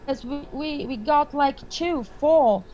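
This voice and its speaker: A Brazilian woman with a Portuguese accent, speaking as an activist guest over a remote link, halting and searching for words. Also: sits high, warm tone, a little hiss or hum. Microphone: remote call link. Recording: muffled remote call line.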